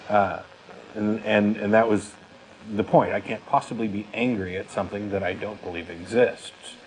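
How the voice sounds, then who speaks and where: A man, in his thirties or older, talks conversationally as a show host. His voice sits fairly low, and there is clear noise in the recording. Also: steady tone, moderate pace, low-quality recording. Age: 30s or older. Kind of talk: conversational talk.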